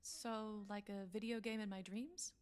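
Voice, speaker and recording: An actress playing a wary, defensive patient in a radio drama, with a halting, skeptical way of talking. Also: female voice, medium pitch, lively intonation, a little mellow, lightly acted. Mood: uneasy, curious. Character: wary, defensive female patient, questioning everything. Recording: echoey, long reverb.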